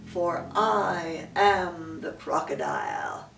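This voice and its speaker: Old lady doing a sneaky storybook crocodile, coaxing and unhurried, bit echoey. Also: fairly low pitch, huge pitch swings, creaky, a bit bright, distant mic, a little hiss or hum, full character voice. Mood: sly coaxing. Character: sly, coaxing male crocodile luring his prey.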